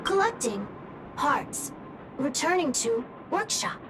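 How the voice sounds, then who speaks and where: Game robot, caretaker type, loyal and simple,; very slow, high, clipped phrases over game music. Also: female voice, very animated, background noise, full character voice. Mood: plain. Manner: halting broken phrases, one or two words at a time. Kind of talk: video game cutscene dub.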